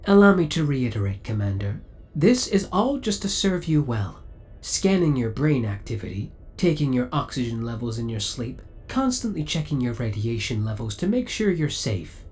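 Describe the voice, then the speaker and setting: Man voicing a devoted ship AI, lively intonation. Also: mid-range voice, normal speed, natural voice. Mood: politely defensive. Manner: formal, courteous, precise servant-like delivery. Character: devoted, possessive male spaceship AI, politely obsessive. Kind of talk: ASMR audio roleplay.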